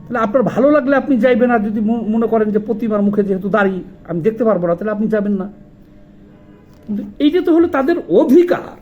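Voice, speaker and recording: A man's voice: extremely high pitch, expressive, quick pace, darkish tone, lo-fi sound, faint music behind. A man with a Bangladeshi accent giving informal video commentary, indignant and argumentative, posing rhetorical questions in colloquial speech. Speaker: social commentator.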